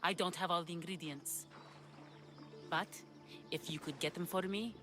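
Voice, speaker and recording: A woman's voice: on the low side, moderate pace, music in the background, close to an everyday voice. A woman playing an obsessive, earnest alchemist in a video game, with an intense, precise manner. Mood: eager. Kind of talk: video game cutscene acting.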